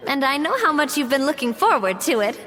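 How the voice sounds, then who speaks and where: A woman's voice: sits high, lively intonation, brisk, a bit bright, big-room sound. An actress voicing a cheerful, generous female organizer who welcomes the team. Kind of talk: video game voice acting.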